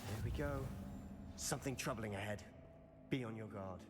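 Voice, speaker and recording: A man voicing a low-voiced young wizard mentor. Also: moderate pace, very noisy, lightly acted. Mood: persuasive. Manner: calm, persuasive, conspiratorial. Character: young male wizard student, confident mentor. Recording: game voice over loud music. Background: game music.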